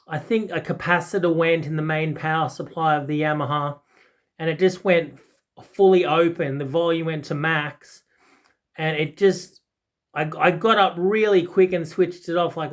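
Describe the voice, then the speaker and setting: A man in his forties giving a casual, unscripted product talk, rambling and often hesitating or correcting himself. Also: on the high side. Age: 40s. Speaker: audiophile video host.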